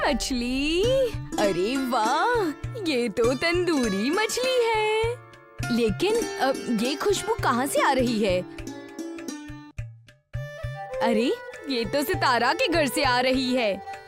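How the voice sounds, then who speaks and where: A woman's voice: high voice, sing-song, extremely slow, pauses often, music in the background, heavily acted. A woman voicing a boastful, scornful old village woman in a fairy tale. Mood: greedy, scheming. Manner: gloating, scornful.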